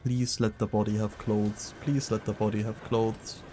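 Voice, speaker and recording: A man's voice: on the low side, little pitch movement, moderate pace, dark tone, a little hiss or hum. A man giving casual live gameplay commentary as a streamer, with joking, sarcastic asides. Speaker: game streamer.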